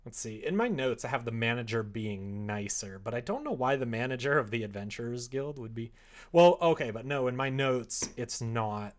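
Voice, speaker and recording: A man chatting casually on a livestream as he works at a computer, thinking out loud and joking as he goes. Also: mid-range voice, expressive, normal speed. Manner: musing aloud, joking, reads chat and drafts aloud. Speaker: streamer writing a visual novel. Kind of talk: casual livestream chat while writing. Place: indoors at a computer desk.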